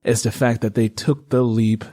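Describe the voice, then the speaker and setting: A man's voice: medium pitch, fairly even intonation, moderate pace, mellow. A man hosting a casual interview, affirming his guest and repeating points for emphasis. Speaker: interview host.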